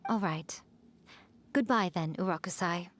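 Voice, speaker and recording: An actress voicing a playful, centuries-old fox spirit woman in a video game, with a sly, teasing, drawn-out delivery. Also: fairly low pitch, close to an everyday voice. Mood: fond, teasing.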